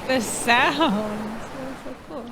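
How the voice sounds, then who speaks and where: A woman with a fairly high voice talks through a game she is playing. She is informal and expressive, moving between reading aloud and casual remarks. Loud wave noise from the game sits heavily behind her. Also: very slow. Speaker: gaming video creator. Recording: loud ocean waves and game ambience behind voice. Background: game's sea ambience.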